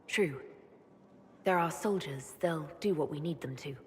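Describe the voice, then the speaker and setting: An actress playing a composed young woman leader in a video game, measured and direct with a dry, teasing streak. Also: low voice, slight background noise. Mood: resolute. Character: composed, wry young female leader and adventurer. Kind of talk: video game character dialogue.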